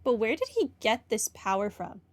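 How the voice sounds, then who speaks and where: A woman's voice: on the high side, big pitch swings, moderate pace, a little hiss or hum. A woman giving casual, dry and sceptical commentary as she plays a game. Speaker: gamer commenting on her playthrough.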